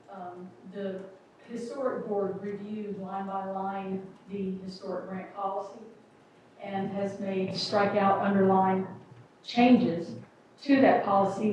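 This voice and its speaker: A woman's voice: mid-range voice, darkish tone, distant mic, muffled recording, slight background noise. Woman giving a report in an echoey chamber. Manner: procedural, measured reporting. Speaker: city staff member giving a board report. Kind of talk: meeting report. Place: city council chamber.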